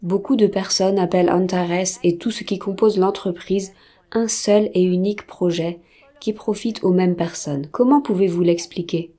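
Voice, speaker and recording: A woman interviewer asking formal, scripted questions in a calm, even way. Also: on the low side, normal speed.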